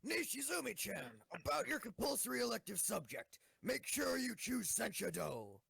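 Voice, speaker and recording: A brusque student council girl, voiced by a man, high and very creaky. The husky delivery is pushy and swoops about, in an anime-style audio drama. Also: very animated, moderate pace, a bit bright, a little hiss or hum, exaggerated voice. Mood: brusque, persuasive.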